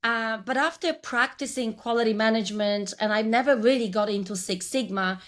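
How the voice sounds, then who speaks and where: A woman's voice: on the high side, a little fast, a bit bright. A woman in her forties with a Polish accent, a guest on a podcast interview, telling her career story reflectively, with frequent restarts. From Poland. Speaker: guest, branding coach.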